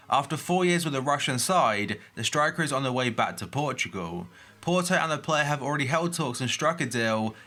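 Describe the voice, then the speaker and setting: Groggy-voiced man reading football news. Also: medium pitch. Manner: steady newsreading with brief personal asides. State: groggy voice from hay fever. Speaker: football transfer news youtuber. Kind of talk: reading transfer news roundup.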